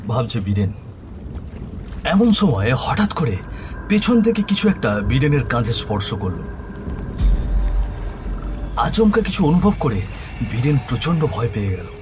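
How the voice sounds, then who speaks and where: A male narrator reads a scripted ghost story with drama. His voice is medium in pitch, and music plays clearly behind him.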